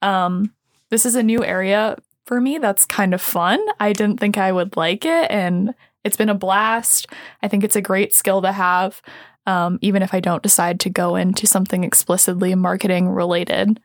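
A woman's voice: medium pitch, fairly even intonation, normal speed, dry recording, studio quality. A young woman from South Dakota, a summer intern, speaking thoughtfully in a casual interview with frequent ums. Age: young adult. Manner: thoughtful, many ums and uhs.

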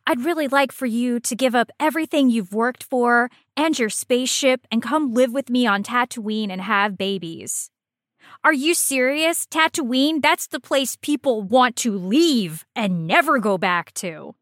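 Blunt, bright-voiced woman podcast co-host. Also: fairly high pitch, animated, unhurried, dry recording, studio quality. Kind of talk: casual comedic podcast chat about a video game.

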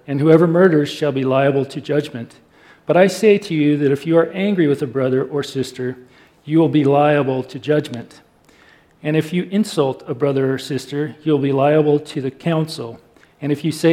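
A man reading scripture aloud in a steady, measured way. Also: on the high side, rough recording. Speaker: volunteer scripture reader.

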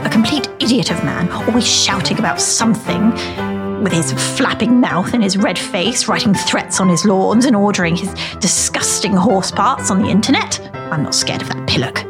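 A woman's voice: mid-range voice, normal speed, over music, natural voice. Woman playing a plummy, indignant older lady. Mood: haughty, disgruntled. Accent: plummy English. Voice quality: soft. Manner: haughty, persistent, disdainful.

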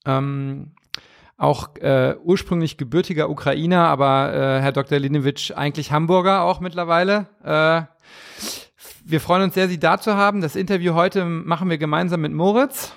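A male podcast host with a noticeably creaky, slightly high voice. He interviews in a conversational style, often rewording his questions as he goes. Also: steady tone, moderate pace.

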